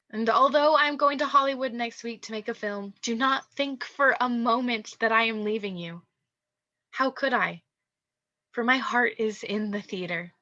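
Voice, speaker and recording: An actress playing a celebrated young stage star in a radio drama, poised and falsely humble, speaking in measured, formal tones. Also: female voice, fairly high pitch, pushed and bright. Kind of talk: theatrical radio drama acting.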